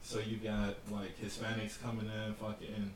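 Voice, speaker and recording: A man's voice: lowish voice, monotone, normal speed. A man hosting a podcast in a laid-back casual chat, agreeing often. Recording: echoey room, distant mic, audible noise.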